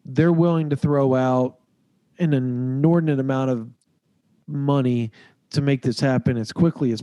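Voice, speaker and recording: A man with a cold gives his voice a stuffy, nasal edge as he rambles through sports talk, hedging as he goes. His tone is mellow and dark, and the recording is dry and close. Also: mid-range voice, no echo, poor audio. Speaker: podcast host. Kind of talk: casual sports chat.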